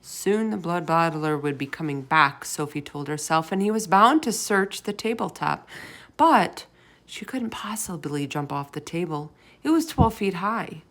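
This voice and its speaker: A woman reading a children's story aloud as an expressive narrator. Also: on the low side, a little slow.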